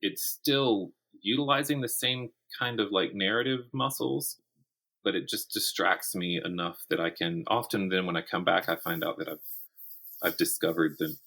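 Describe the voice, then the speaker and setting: A middle-aged man with a low, husky voice that still carries a bright, forward edge. He talks conversationally as an interview guest, thinking aloud and restarting phrases as he goes. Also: steady tone, moderate pace. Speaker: guest, writer and academic. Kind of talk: conversational interview about writing craft.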